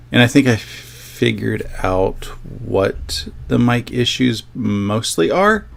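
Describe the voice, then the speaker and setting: A man's voice: lowish voice, gravelly. A man hosting a sewing livestream, chatting casually to his viewers in a rambling, self-joking way.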